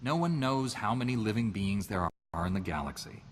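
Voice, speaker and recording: An actor playing an earnest male Jedi knight in a video game, speaking calmly and reprovingly. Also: on the low side, in a large hall, a little hiss or hum, lightly acted. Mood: stern. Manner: calm, reproving, measured. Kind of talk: video game character dialogue.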